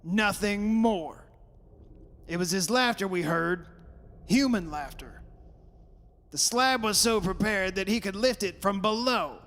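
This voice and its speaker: A man's voice: high voice, animated, unhurried, long pauses, a bit bright, exaggerated voice. A man playing a proud, sceptical father in a radio horror drama, arguing insistently. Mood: accusing. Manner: insistent, argumentative. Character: stubborn sceptical father, proud and blustering, rationalising away terror. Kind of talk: old-time radio horror drama acting. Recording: hissy recording in a reverberant hall.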